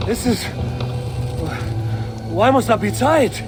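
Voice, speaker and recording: Loud music runs under a video game scene. In it a proud Viking warrior, guarded by temper, with a high, very lively voice. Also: male voice, slow pace, heavily acted. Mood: confused. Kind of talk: video game cutscene acting.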